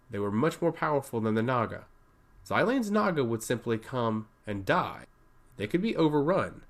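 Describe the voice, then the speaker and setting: A man narrating a scripted fantasy story with a dramatic delivery. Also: fairly low pitch.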